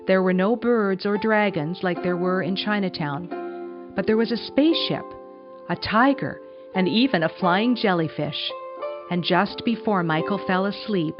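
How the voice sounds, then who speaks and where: A woman reads a children's story as a scripted narration. Her voice sits fairly low. The sound is slightly dull, with music clearly audible underneath. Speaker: storybook reader.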